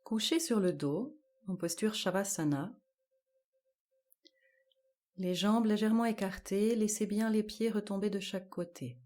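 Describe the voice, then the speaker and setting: Woman, yoga relaxation guide, very slow delivery, warm relaxed tone, calm measured phrasing. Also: medium pitch. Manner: calm, measured instruction with spaced-out short phrases.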